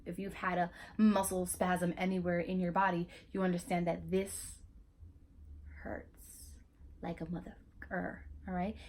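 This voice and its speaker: A woman's voice: mid-range voice, a bit bright. A young woman with a Spanish accent talking frankly and casually to her viewers, cursing freely and stumbling through fillers and restarts. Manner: frank and chatty, swears, many fillers and restarts. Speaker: vlogger. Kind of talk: casual educational monologue.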